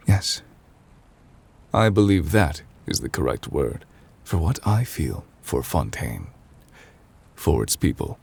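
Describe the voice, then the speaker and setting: Man playing an ancient immortal judge, extremely low voice, gravelly and breathy, formal, measured, solemn yet gentle, intimate ASMR roleplay. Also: exaggerated voice. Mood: weary, reflective. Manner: formal, measured, halting, softly confiding.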